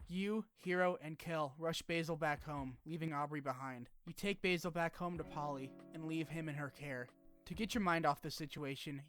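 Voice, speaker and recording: A man narrating a scripted but casual game review, with informal wry asides and some swearing. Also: a little high. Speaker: video essay narrator.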